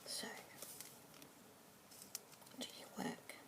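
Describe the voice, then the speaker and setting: A young woman with an Australian accent whispering softly and gently as a pet adoption consultant in an ASMR roleplay. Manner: soft whispering, gentle and unhurried. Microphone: close sensitive ASMR mic. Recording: noisy hiss behind close whisper.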